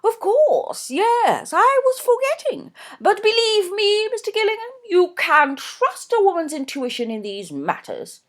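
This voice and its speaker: A woman voicing a fussy, emphatic middle-aged mother with insistent, high-pitched exclamations. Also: very animated, unhurried, few pauses, heavily acted. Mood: gushing.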